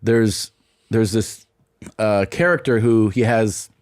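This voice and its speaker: A man's voice: on the low side, slow pace, darkish tone, dry recording, clean studio sound. A man hosting a podcast, chatting in a rambling, profane way and reading ads. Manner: rambling, self-interrupting, profane. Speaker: podcast host. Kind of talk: casual chat and ad reads.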